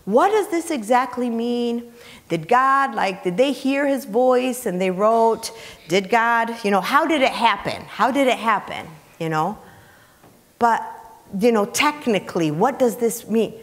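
A woman's voice: fairly high pitch, expressive, a little slow. A middle-aged woman preaching a sermon in an emphatic, conversational way, repeating phrases and asking rhetorical questions. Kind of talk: sermon, teaching scripture to a congregation. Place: church sanctuary, indoors, on the platform. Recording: reverberant hall, picked up in large room.

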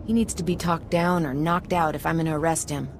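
A woman's voice: sits low, steady tone, a little fast, noisy background. A woman playing a wry, battered police officer in a video game, sounding weary and in pain. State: pained, woozy.

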